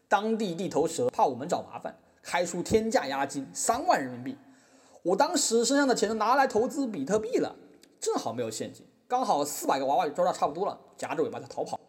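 Male, ironic mock-humble artist as acted for courtroom satire, higher voice with big pitch swings. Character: wry, mock-apologetic male artist defending himself in court. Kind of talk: scripted satirical monologue in a podcast drama.

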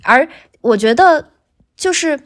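A woman, a guest voice actor, chatting casually on a podcast and thinking aloud in halting, looping phrases. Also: sits high, lively intonation.

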